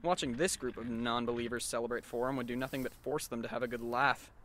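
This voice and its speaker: A man playing a sardonic, weary male high school student who narrates and talks dryly in an anime fan dub. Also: fairly even intonation, fast talker, noisy background, lightly acted. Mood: objecting. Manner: dry, wry, long-suffering.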